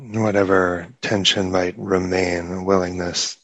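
A man leading a guided meditation as a teacher, speaking haltingly with long pauses between short phrases. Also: sits low, level tone, fairly bright tone, dry recording, rough recording.

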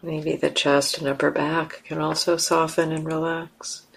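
A woman leading a guided meditation as a teacher, speaking gently in short, unhurried phrases with long pauses between them. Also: low voice, level tone, gravelly, breathy edge, rough recording.

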